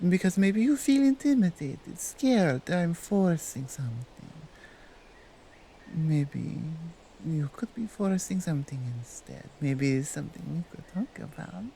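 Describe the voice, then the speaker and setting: Actress as dominant otherworldly woman for ASMR romance: Nordic accent, mellow and creaky, teasing, commanding, doting. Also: lowish voice, slight background noise, low-quality recording. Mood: coaxing, confident. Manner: slow, deliberate, teasing, commanding, non-native English phrasing. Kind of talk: ASMR roleplay addressed to the listener.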